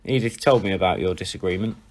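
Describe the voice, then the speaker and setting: A man playing a perceptive, laid-back and quietly frank friend in an audio drama, unhurried and matter-of-fact. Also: low voice.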